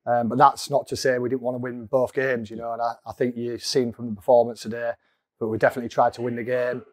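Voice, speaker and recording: A man in his forties, a football manager, giving a measured post-match interview into a lapel mic in a small indoor room, with frequent ums. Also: mid-range voice, dry recording, clean studio sound. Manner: steady, measured, many ums. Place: small indoor room in front of a sponsor board.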